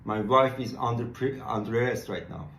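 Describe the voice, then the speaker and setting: A man in his twenties with an Iranian accent makes an earnest, halting appeal for support. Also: mid-range voice, fairly even intonation, a bit bright, slight background noise. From Iran. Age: 20s. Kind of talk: appeal in a social media video. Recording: echoey room.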